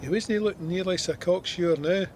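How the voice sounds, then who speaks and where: A man's voice: high voice, moderate pace, a little mellow, a little hiss or hum, natural voice. An actor with a Scottish accent playing a wry, plain-spoken soldier narrating his war memoir with dry understatement. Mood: matter-of-fact recollection.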